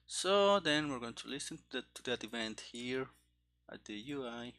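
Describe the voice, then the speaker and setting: A man thinking aloud in a casual, halting mutter as he programs, talking partly to himself. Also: medium pitch, lively intonation, a bit bright. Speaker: programmer narrating live coding. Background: computer keyboard typing and clicking.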